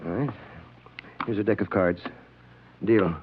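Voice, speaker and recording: A man's voice: on the low side, a little slow, a little hiss or hum, natural voice. An actor playing a stern, suspicious starship captain in a 1950s radio drama, curt and commanding as he interrogates a subordinate. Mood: stern, probing. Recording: dull vintage radio recording.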